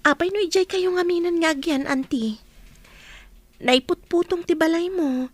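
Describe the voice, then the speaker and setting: A woman's voice: extremely high pitch, moderate pace, no echo. A young woman playing a caring, sociable village nurse in an Ilocano radio drama. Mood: friendly, chatty.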